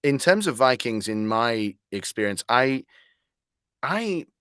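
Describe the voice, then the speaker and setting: A man's voice: medium pitch, big pitch swings, slow pace, a bit bright, smooth, no room sound, studio quality. A man speaking as a voice actor being interviewed, wordy and articulate, with whimsical turns of phrase. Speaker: guest, voice actor. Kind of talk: conversational interview.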